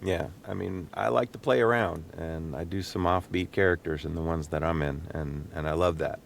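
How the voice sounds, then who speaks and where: A man hosting a casual podcast, talking in a halting, rambling way and repeating words as he thinks aloud. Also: deep voice, fairly even intonation, vocal fry, a little hiss or hum. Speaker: podcast host and voice actor. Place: small home recording booth.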